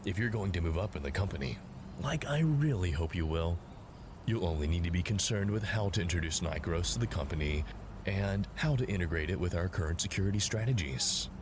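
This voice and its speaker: An actor playing a smooth, courteous but condescending company executive in an audio drama, with a suave, patronizing, unhurried manner. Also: male voice, low voice, lively intonation. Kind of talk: scripted audio drama acting. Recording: clearly noisy background ambience.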